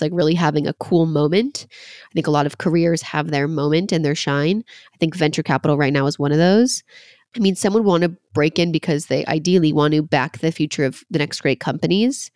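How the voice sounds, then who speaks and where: A woman's voice: deep voice, no room sound, clean studio sound. Congested twenty-something woman, chatty host. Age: 20s. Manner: chatty, relaxed, frequent "like" fillers. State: slightly congested from a cold. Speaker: podcast host. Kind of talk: casual solo podcast talk.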